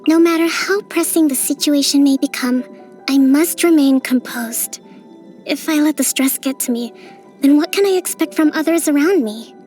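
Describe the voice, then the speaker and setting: A woman voicing a calm young priestess strategist. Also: sits high, normal speed, soft background music, natural voice. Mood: composed. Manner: gentle, composed, softly playful. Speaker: game character. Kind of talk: game voice lines.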